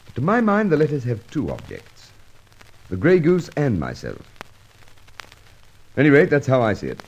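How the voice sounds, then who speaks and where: A man's voice: mid-range voice, wildly swooping pitch, normal speed, husky, highs cut off, full character voice. A man acting an affable but exasperated Scotland Yard inspector in an old radio drama, with clipped old-school phrasing. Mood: businesslike, puzzled.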